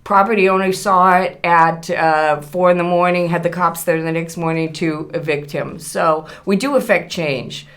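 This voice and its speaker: A woman's voice: lowish voice, steady tone, moderate pace, few pauses. A middle-aged or older woman answering interview questions conversationally as a guest. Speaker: interview guest, newspaper publisher.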